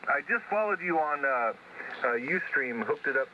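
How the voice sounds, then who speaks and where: A man's voice: fairly high pitch, expressive, normal speed. A man, an amateur radio operator, chatting casually over a shortwave radio link as he checks in. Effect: shortwave ham radio transmission. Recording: dull, very noisy ham radio signal.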